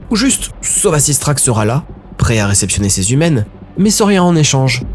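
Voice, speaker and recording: A man giving a dramatic scripted narration of a story. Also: mid-range voice. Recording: background music under narration.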